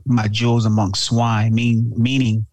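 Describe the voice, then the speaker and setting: A man co-hosting a casual talk show, talking in a slangy, animated way, often repeating himself and calling his friend bro. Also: medium pitch, a little slow, no room sound. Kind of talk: casual chat.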